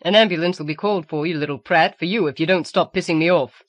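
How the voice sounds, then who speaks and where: A woman's voice: lowish voice, quick pace, no echo, lightly acted. A woman voicing an irritable, coarse working-class father with a blunt, sarcastic manner in an audiobook. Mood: angry, sarcastic.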